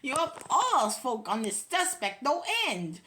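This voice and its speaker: A woman's voice: high-pitched, sing-song, unhurried, sharp tone, exaggerated voice. A woman voicing a tiny male mayor of a town on a speck, polite and thankful in a piping voice. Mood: grateful.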